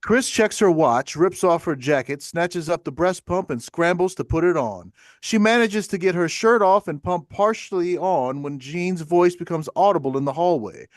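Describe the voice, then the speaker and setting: A man narrates stage directions from a script. His voice is a little high for a man, and the sound is close and dry. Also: no echo. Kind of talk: scripted narration at a table read.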